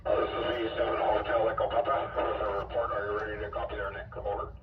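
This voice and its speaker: A man's voice: on the low side, level tone, brisk, heavy background noise. A man giving a station report as a radio operator, in procedural check-in style over a radio transmission. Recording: echoey, distant, muffled radio audio.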